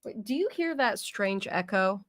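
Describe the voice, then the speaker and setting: A woman's voice: medium pitch, lively intonation, moderate pace, fairly bright tone, dry recording. A woman co-hosting a livestreamed podcast, chatting casually and earnestly arguing her point at length. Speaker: podcast co-host.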